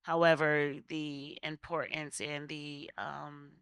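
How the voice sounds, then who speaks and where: A woman's voice: deep voice, level tone, takes it slowly, fairly bright tone, smooth, dry recording. A middle-aged American woman from Connecticut speaking as a legislator on a panel, conversational and often interrupting herself. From Connecticut, United States. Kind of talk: panel discussion, personal anecdote.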